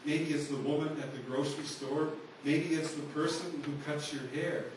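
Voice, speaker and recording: A male pastor tells a story from the pulpit in an earnest, conversational way, his voice a little high. The mic sits far off in a big, very echoey sanctuary. A noisy hiss runs beneath him. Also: little pitch movement, normal speed. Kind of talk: sermon.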